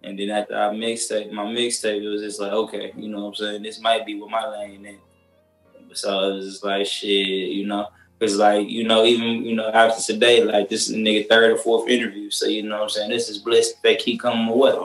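A man's voice: fairly low pitch, completely flat delivery, fairly quick. A man in his twenties, a rapper guest, talking casually on a couch with loose slang and lots of fillers, heard through a video call. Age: 20s. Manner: laid-back, loose slang, many fillers. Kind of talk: casual interview chat. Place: living room couch, indoors. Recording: roomy echo, faint music.

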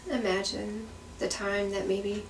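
A woman's voice: medium pitch, level tone, a little slow. A woman in her thirties speaking reflectively in a documentary interview at home. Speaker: adoptive parent, interviewee. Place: room at home, indoors. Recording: echoey room, distant mic, faint noise.

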